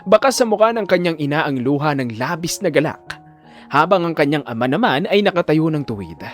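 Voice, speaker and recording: A man's voice: fairly high pitch, sing-song, brisk, faint music behind. A man delivering solemn, emphatic scripted narration for a dramatic audio story.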